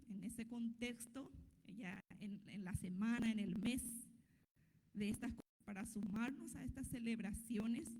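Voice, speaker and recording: A woman's voice: on the high side, mellow. Woman giving a formal speech in an echoey hall. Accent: Paraguayan. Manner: measured, careful delivery of a learned language. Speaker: government minister giving a speech. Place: ceremony hall.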